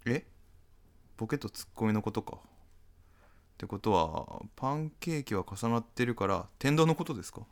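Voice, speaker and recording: A man's voice: medium pitch, animated, normal speed, long pauses, lightly acted. A man playing a polite, mildly puzzled fellow who narrates his own encounter in an amateur comedic radio drama.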